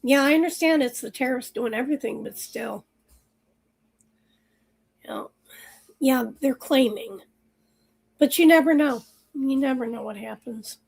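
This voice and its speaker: A woman hosting a livestream, chatting casually and rambling with viewers while her voice is going hoarse. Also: high-pitched, a little slow, long pauses, a little mellow, poor audio. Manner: chatty, rambling, lots of fillers. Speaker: livestream host. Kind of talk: casual chat with live chat viewers.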